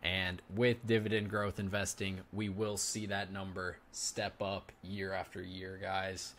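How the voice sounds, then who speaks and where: Chatty young man, lowish voice. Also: level tone, a little slow, a bit bright, a little hiss or hum. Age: 20s. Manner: chatty, addresses viewers as "guys" often. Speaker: finance YouTuber host. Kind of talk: casual reaction commentary.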